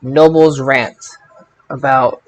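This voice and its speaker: A man co-hosting a podcast in casual chat, with a halting, tentative delivery. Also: medium pitch, fairly even intonation, dull audio. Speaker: podcast co-host. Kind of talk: casual wrestling review chat.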